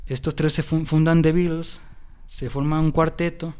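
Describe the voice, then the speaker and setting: Mellow-voiced Mexican man chatting loosely. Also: fairly high pitch, flat delivery, normal speed, clean tone. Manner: rambling, many fillers and restarts. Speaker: podcast host. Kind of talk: casual music history chat.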